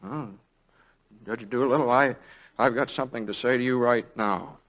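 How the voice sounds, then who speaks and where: An actor as bright-voiced, nervous young American husband. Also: male voice, medium pitch, steady tone, moderate pace, highs cut off, natural voice. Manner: hesitant, stammering, flustered. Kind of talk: 1940s radio drama acting. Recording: vintage 1940s radio broadcast.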